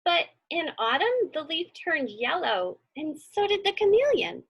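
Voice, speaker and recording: A woman's voice: very high-pitched, sing-song, a little slow, dull audio. A woman reading a storybook aloud and chatting informally to children as their teacher, encouraging and often putting questions to her listeners. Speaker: teacher hosting a children's read-along.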